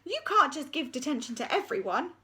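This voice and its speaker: A woman's voice: sits high, big pitch swings, a little fast, bright, forceful tone, pitched above the performer's own voice, heavily acted. A woman voicing a flustered, prim school secretary who sounds exasperated.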